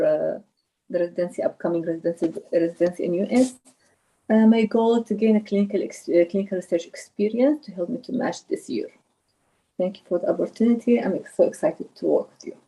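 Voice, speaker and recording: A woman from Eritrea introducing herself briskly on a video call, with a few restarts. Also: medium pitch, warm tone, rough recording.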